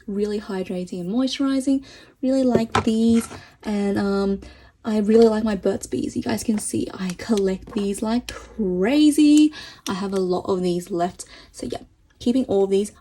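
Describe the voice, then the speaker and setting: Hoarse-edged chatty woman vlogger, mellow tone. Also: medium pitch, a little hiss or hum. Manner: chatty, enthusiastic, filler-heavy. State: voice getting hoarse. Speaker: beauty vlogger. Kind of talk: casual product review.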